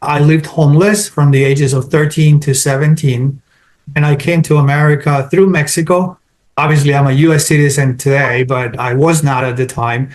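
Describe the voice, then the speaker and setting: Colombian-accented man, slightly high voice. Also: steady tone, moderate pace. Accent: Colombian Spanish. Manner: structured, lists points in order. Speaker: digital marketing consultant. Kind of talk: interview answers.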